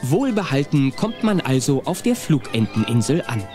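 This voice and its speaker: A male narrator of an audio drama, with a lively, varied delivery at a medium pitch. Music plays clearly behind his scripted storytelling. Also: moderate pace.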